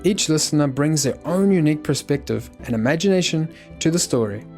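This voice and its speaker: A man with a fairly high voice narrates a documentary from a script. Faint guitar music sits underneath his words. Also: animated, brisk, darkish tone.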